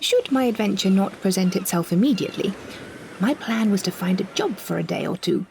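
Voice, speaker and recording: A British woman narrates a first-person audio story at a middle pitch. Her delivery is measured and expressive, with careful storyteller's phrasing. Clear ambient noise sits behind her voice.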